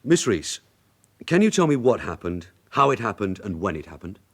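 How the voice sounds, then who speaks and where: An actor playing a shrewd veteran detective sergeant, wry, businesslike and commanding, in a radio drama. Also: male voice, fairly low pitch, sing-song, normal speed. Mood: brisk, questioning. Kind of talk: British radio drama acting.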